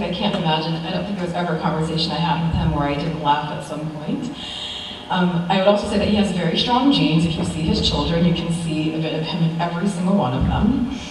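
A young adult American woman giving an informal, conversational eulogy with personal stories, recorded from a distance in a church. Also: fairly low pitch. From United States. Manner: conversational, chuckling through anecdotes. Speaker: niece giving a funeral tribute. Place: large church sanctuary, at a pulpit. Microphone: far camera. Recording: very echoey church hall, distant mic, faint noise.

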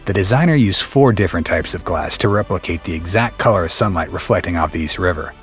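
A man reading a scripted documentary narration in a steady, explanatory way over a close voice-over mic. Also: sits low, quick pace. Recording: faint music bed behind the voice.

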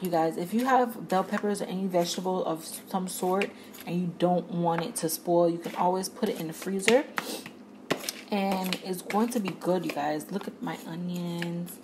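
A woman's voice: lowish voice, noisy background. Chatty young woman on a phone. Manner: chatty, talks straight to viewers. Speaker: vlogger. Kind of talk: casual cooking vlog. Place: home kitchen. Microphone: phone camera.

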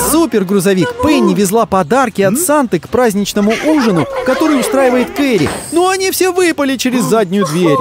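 A woman narrating a cartoon for young children in a cheerful, encouraging, exclamatory way, speaking to the characters and to the kids watching. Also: a little high. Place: voice-over studio. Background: loud cartoon music.

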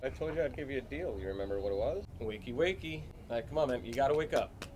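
A man's voice: mid-range voice, animated, fast. A young man working as a salesman, talking patiently and then firmly insisting. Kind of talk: sales talk in a prank. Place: mattress store, indoors.

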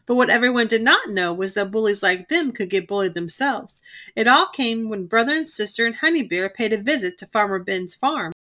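A woman reads a children's picture book aloud with lively expression. Her voice is bright and somewhat high. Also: brisk. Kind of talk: read-aloud storytime narration.

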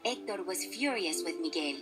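A woman's voice: lowish voice, expressive, moderate pace. A woman narrating a children's story from a script, as a read-along storybook narrator. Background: instrumental music.

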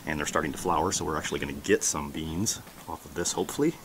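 A middle-aged American man giving a casual, unhurried, rambling garden tour, recorded outdoors on a handheld camera. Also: rumbling low, background noise. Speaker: homesteading vlogger. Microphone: camera held at arm's length.

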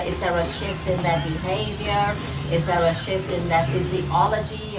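A woman's voice: lowish voice, noisy background. Earnest woman speaking from afar. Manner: earnest, heartfelt, encouraging. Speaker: event organizer and host. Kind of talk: speech to a small outdoor gathering. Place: open-sided park pavilion outdoors. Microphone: far camera, no mic. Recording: distant, echoey outdoor pavilion with music.

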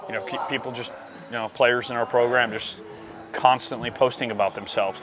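A middle-aged man co-hosting a casual baseball talk, conversational and often trailing off softly, on a lapel mic in a cluttered equipment room. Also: medium pitch, fairly even intonation, brisk, a crowd in the background, low-quality recording. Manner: conversational, trailing off into softer asides. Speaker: baseball program coach and co-host. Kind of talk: casual podcast chat.

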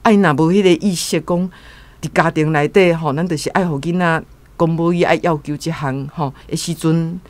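A woman's voice: deep voice, steady tone, a little fast. A woman with a Taiwanese accent speaking earnestly and informally as a guest commentator.

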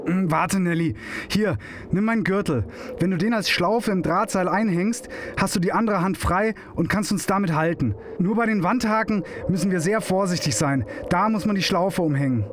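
A man's voice: sits high, normal speed, a little hiss or hum, natural voice. A man acting a practical, determined young male hiker in an audio drama. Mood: practical, planning.

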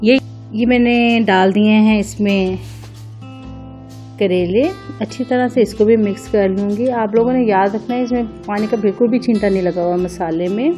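A woman's voice: mid-range voice, steady tone, normal speed, mellow, soft background music. A woman hosting a home cooking vlog, casually narrating a recipe step by step and politely instructing viewers.

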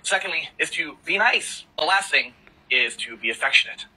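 A man's voice: fairly high pitch, lively intonation, normal speed, bright, forceful tone, husky, low-quality recording. A man in a social media clip giving blunt dating advice. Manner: blunt, advising.

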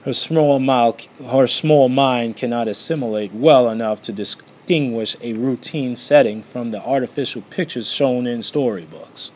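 A man's voice: mid-range voice, a little slow, hardly any pauses, darkish tone. A man reading a book aloud in an amateur way, often stumbling and going back over phrases.

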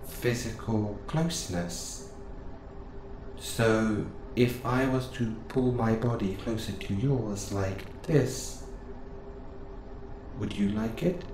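A man playing a gentle, curious robot assistant who speaks in formal, precise phrases, close and intimate in an audio roleplay. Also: lowish voice, takes it slowly, pauses often. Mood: gently curious. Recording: roomy sound with clear background noise.

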